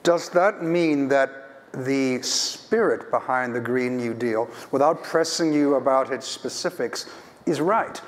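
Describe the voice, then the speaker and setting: A man moderating a panel, asking a question in a measured, careful way. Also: mid-range voice, in a large hall.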